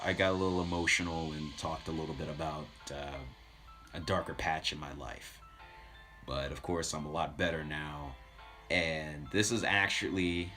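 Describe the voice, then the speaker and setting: A man's voice: low-pitched, a bit bright. A man chatting casually as a livestream host, rambling as he tells stories and talks to his viewers. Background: background music.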